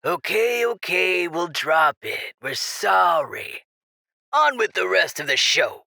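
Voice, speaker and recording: A mocking radio host with a sinister streak, teasing and falsely casual. The delivery is slow, with creak in a bright, close-miked voice. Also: mid-range voice, sing-song, no echo, heavily acted. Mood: falsely breezy. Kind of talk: radio drama acting in presenter patter.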